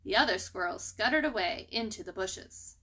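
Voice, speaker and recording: A woman storyteller reading a children's book aloud expressively, with animated delivery and dramatic emphasis. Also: mid-range voice, moderate pace.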